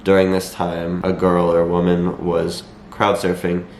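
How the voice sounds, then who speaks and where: A young man with a slightly raspy voice and a cold, explaining things to viewers in a measured, matter-of-fact vlog style. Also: low voice, monotone, darkish tone. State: has a cold. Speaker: vlogger. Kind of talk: talking to camera, explanatory vlog. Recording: echoey room, faint background noise.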